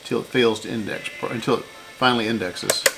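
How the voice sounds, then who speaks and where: A man's voice: fairly low pitch, steady tone, a little fast, gravelly, over music. A man giving a casual hands-on repair walkthrough, unscripted and thinking aloud as he works. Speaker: repair channel host.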